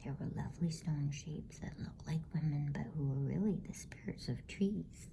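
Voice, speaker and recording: A woman reading a bedtime story as ASMR in a hushed, unhurried whisper. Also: low voice, creaky.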